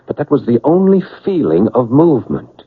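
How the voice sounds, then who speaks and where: Urgent serious man, swooping husky voice. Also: medium pitch, huge pitch swings, moderate pace. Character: serious, urgent man reasoning with a distraught woman. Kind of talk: 1970s radio drama acting. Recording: muffled, narrow old radio broadcast.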